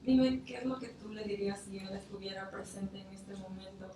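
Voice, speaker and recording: A woman's voice: mid-range voice, moderate pace. Woman reporter in a very echoey room. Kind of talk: press conference questioning. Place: indoor press conference room. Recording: echoey room, distant mic, noisy.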